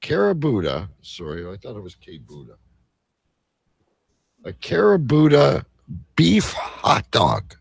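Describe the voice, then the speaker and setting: A man's voice: medium pitch, wildly swooping pitch, a little slow, gravelly, long pauses, husky, dry recording. A man co-hosting a show, talking in casual, conversational chat. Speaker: co-host of a live online show.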